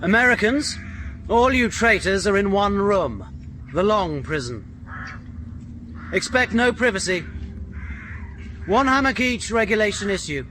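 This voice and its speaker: A stern prison commandant for a radio drama. The voice is high and sharp, with a clipped, domineering bark, over faint background noise. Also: male voice, expressive, unhurried, long pauses, exaggerated voice. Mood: stern, officious. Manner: barking, clipped, authoritarian.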